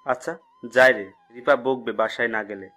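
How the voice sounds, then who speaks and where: A man's voice: mid-range voice, moderate pace, sharp tone, faint music behind. A man playing a blunt, slangy young college buddy in an audio story.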